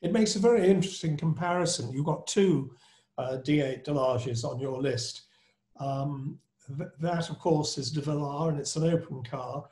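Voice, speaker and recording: A man on a video call, judging classic cars on a panel, talking in a measured, admiring way about fine detail. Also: a little high, darkish tone, roomy sound. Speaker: panel judge.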